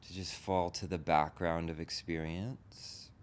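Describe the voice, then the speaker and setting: A man with a low voice teaches a guided meditation. He gives gentle instructions in short phrases with space between them. A faint rumble and noise sit behind his voice. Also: steady tone, a little slow. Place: group meditation session in a room.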